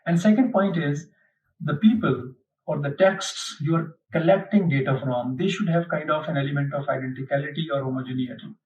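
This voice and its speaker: A man giving an unhurried explanatory lecture, pausing often with fillers as he explains. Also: fairly high pitch, darkish tone. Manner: unhurried, hesitant, many fillers. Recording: dull lo-fi, mic at a distance.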